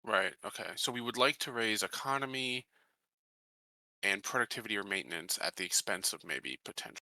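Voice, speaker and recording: Very bright, sharp low-pitched man. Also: expressive, fairly quick, dry recording, poor audio. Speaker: tabletop game player. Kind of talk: casual game-table discussion.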